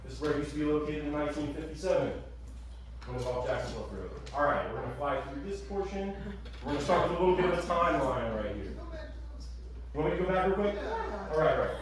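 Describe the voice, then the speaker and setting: A man giving a lively museum talk with slides to an audience, chatty and energetic. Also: a little high, quick pace. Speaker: museum staff presenter. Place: meeting room with audience. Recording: echoey room, far from mic.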